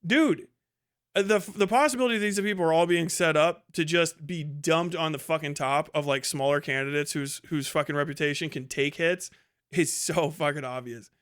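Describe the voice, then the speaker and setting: Guy streamer doing scornful sweary commentary, pretty high bright voice. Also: moderate pace, clear, resonant tone. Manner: exasperated, scornful, heavy swearing. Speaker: livestream host reacting to a debate. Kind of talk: casual profane commentary.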